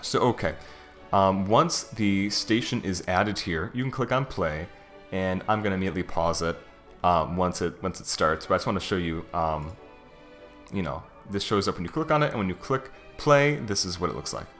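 A man with a low-pitched voice talks through a software demo in a casual, informal way. He thinks aloud as he explains each feature, like a conversational tech reviewer. Music plays behind his voice. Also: moderate pace. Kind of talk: casual screen-recorded software walkthrough. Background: background music.

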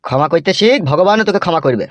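A man's voice: fairly high pitch, lively intonation, rapid-fire, no echo, close to an everyday voice. A man voicing an older village uncle in a comic cartoon, a henpecked peacemaker who coaxes and advises. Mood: coaxing. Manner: coaxing, advising. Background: faint music.

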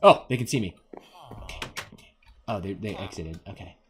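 A man giving casual, rambling playthrough commentary as a gaming host, jokey and excitable. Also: sits low, moderate pace.

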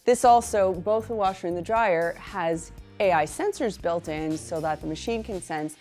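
A woman's voice: lowish voice, normal speed, darkish tone, faint music behind. Enthusiastic woman touring an appliance showroom. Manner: upbeat, enthusiastic product presenter. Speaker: cleaning channel host. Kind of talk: sponsored product walkthrough. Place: large indoor appliance showroom. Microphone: small lapel mic.

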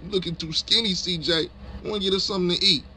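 An actor playing a cocky, hot-tempered gangster who talks in brash, mocking slang in a video game. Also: male voice, sits high, fairly even intonation, quick pace, pushed and bright, a little hiss or hum, rough recording. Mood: scornful. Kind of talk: video game cutscene acting.

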